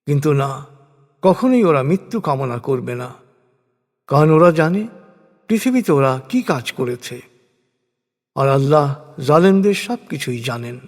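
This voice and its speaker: A man reading a religious translation as a solemn scripted narration, in measured, deliberate phrases. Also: on the high side. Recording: long hall-like reverb.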